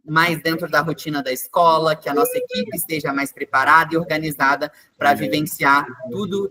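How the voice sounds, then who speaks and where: A man's voice: a little high, bright, forceful tone. Chatty man hosting a webinar. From Minas Gerais, Brazil. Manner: chatty, many fillers like "né" and "tá". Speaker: webinar host, experience coordinator. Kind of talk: online webinar presentation. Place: online video call.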